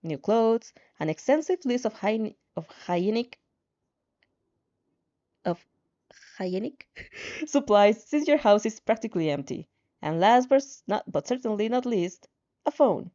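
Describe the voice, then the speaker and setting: A woman reading a story aloud as an amateur narrator, expressive and sometimes stumbling over words. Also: medium pitch, a little slow, long pauses, lo-fi sound. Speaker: fan fiction reader.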